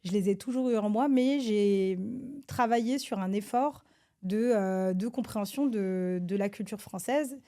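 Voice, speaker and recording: A woman from France, a government advisor, answers interview questions in a hesitant, halting way with many filler sounds and restarts. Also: medium pitch, dark tone. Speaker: government advisor, podcast guest.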